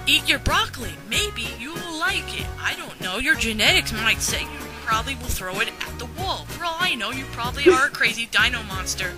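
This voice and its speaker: A woman tears into a subject in loose, unscripted commentary, sarcastic and swearing freely. Her voice sits a little high. Loud music plays under her the whole time. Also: expressive, brisk, few pauses. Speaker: commentary host.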